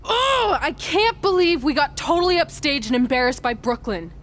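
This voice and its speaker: Game cutscene role: hot-headed, driven young woman wrestler, very animated, high register. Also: normal speed, a little hiss or hum. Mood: downcast, hesitant. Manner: blunt, combative. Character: hot-tempered, determined young female wrestler. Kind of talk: video game cutscene acting.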